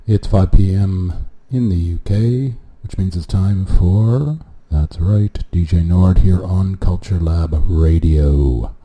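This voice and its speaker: A man with a very low voice and a dark, mellow tone introduces his radio set. He talks loosely and unhurriedly, filling gaps with little hesitations as he runs down what he's playing. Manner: relaxed, unhurried, with many "uh" pauses. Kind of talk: casual radio show intro. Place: at home, street noise coming in.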